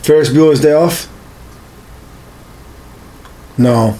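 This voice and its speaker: A man's voice: fairly high pitch, moderate pace, warm tone, a little hiss or hum, rough recording. A man in his thirties co-hosting a podcast, chatting casually in short interjections. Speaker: podcast co-host. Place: small home studio room, indoors.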